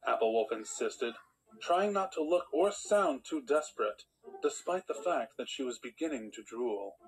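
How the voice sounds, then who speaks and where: A man's voice: mid-range voice, expressive, moderate pace, slight background noise, poor audio. A man narrating a scripted fairy tale in storybook style.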